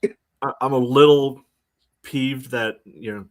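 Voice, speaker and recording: A man's voice: medium pitch, fairly even intonation, takes it slowly. A man with an American accent co-hosting a sports podcast in casual chat, with a dry, understated way of talking.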